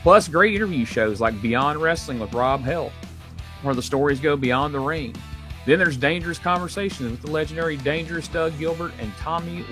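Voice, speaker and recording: A man's voice: on the high side, a bit bright. Man reading a promo over guitar. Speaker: promo announcer. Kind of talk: scripted promotional read. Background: guitar music.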